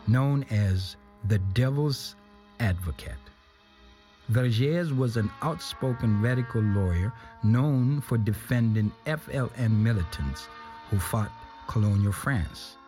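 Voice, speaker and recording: A man with a fairly low voice narrates a written story over music. His delivery is measured and deliberate, with phrases set apart by pauses, in the manner of literary storytelling. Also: a little mellow. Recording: music playing behind the voice.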